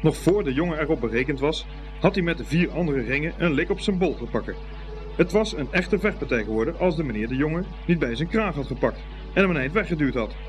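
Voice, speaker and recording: A man's voice: on the high side, expressive, fast talker, faint music behind. A man reading scripted storybook narration as the narrator of a radio play.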